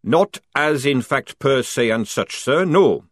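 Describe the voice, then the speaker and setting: A man voicing a wily old-school army sergeant in a storybook, with a barking parade-ground delivery and rough grammar. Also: mid-range voice, expressive, slow, no echo, studio quality, voice pushed up. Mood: mock innocent.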